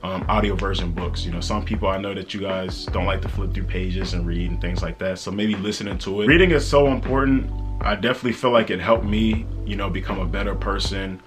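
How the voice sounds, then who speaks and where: An American man with a fairly low voice speaks straight to his viewers as a vlogger. His delivery is conversational, earnest and peppered with slang, in the manner of a motivational talk. Soft music plays faintly behind him. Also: fairly quick. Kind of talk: casual motivational book talk to camera.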